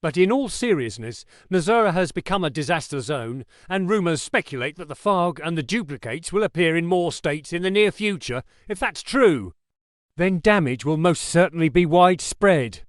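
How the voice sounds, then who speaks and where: A man's voice: a little high, lively intonation, moderate pace, no echo, clean studio sound, close to an everyday voice. A man playing an earnest local TV news anchor, presenting a scripted bulletin in a conversational way. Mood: earnest, concerned.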